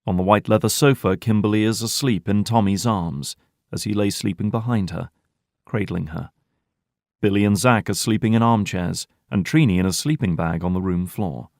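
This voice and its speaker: A man's voice: fairly low pitch, normal speed, darkish tone, no echo, studio quality. A man reading scripted narration for an audio drama.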